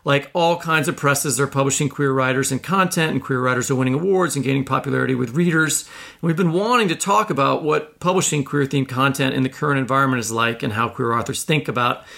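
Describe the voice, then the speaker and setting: A man's voice: mid-range voice, fast talker, vocal fry, bright, forceful tone. A man co-hosting a podcast, introducing topics and putting questions to a guest in a conversational way. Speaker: podcast co-host.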